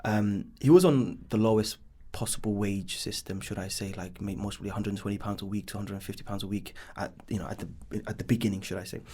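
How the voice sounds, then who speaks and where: A man in his thirties with a London accent, speaking in a fairly low voice. He talks as a podcast guest and tells his story loosely and casually. His sentences drift, with many ums and repeated asides like "should I say". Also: steady tone, fast, hardly any pauses, dark tone, slightly rough. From born in Bangladesh, raised in East London. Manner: rambling, leans on "should I say" and "um". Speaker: business founder guest.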